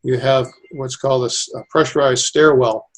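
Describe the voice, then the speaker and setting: Male interviewee, engineer type. Flat intonation, mid-pitched, explaining things informally and methodically. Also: moderate pace. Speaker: civil engineer, airport designer being interviewed.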